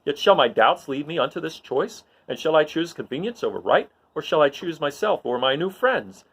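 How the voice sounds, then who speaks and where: A man's voice: on the high side, big pitch swings, moderate pace, fairly bright tone, husky, exaggerated voice. A man acts out a roguish smuggler pilot, proud yet troubled by conscience. The speech is brooding and declamatory, delivered as a Shakespearean stage soliloquy. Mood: conflicted, resolute.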